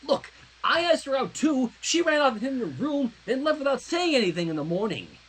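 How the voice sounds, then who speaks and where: Pony princess voiced by a story reader, firm, earnest, competitive, raised husky pitch. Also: animated, fairly quick, a bit bright, heavily acted, rough recording. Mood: insistent. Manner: firm, insistent, dramatic.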